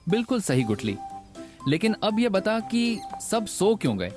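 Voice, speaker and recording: A man's voice: high-pitched, big pitch swings, unhurried. A man voicing a cocky, playful village joker and master to a sidekick in a cartoon. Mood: teasing. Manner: teasing, playful. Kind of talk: Hindi cartoon voice acting. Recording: loud music behind the voice.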